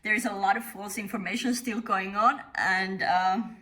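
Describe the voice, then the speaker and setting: A middle-aged woman from Finland with a Finnish accent, speaking as a company founder in an interview, measured and thoughtful with frequent pauses. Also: mid-range voice, fairly even intonation, pushed and bright. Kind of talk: interview monologue.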